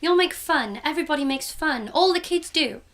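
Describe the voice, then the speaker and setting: A woman voicing a loud, excited little girl in a student radio drama. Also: high-pitched, normal speed. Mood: eager. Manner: eager, breathless, giggly.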